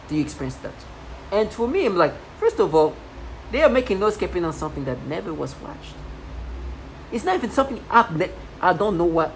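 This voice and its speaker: A woman in her thirties in a rambling personal monologue, agitated and repetitive, with many restarts. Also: rumbling low. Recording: noisy home recording.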